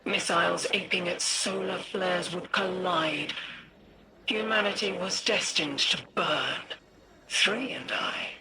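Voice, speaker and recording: A woman's voice: lowish voice, takes it slowly, sharp tone, full character voice, low-quality recording. A woman voicing a cryptic cosmic entity in a game, whimsical, grandiose and taunting in a theatrical way. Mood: grandiose, playful. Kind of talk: scripted game cutscene dialogue.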